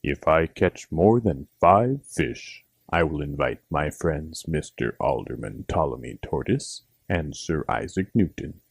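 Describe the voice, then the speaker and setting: Man putting on a very deep gravelly gentleman frog. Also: expressive, a little slow, few pauses, dry recording, heavily acted. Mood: planning, composed. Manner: formal, genteel phrasing. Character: small gentleman frog angler, fussy and proper.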